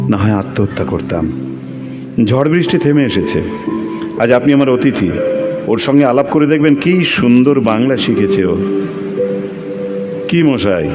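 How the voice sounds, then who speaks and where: A man's voice: on the low side, lightly acted. An actor playing a man who fervently recounts a tender love in a Bengali audio drama. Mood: warm, reflective. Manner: theatrical, fervent storytelling. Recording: dull lo-fi audio. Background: prominent music.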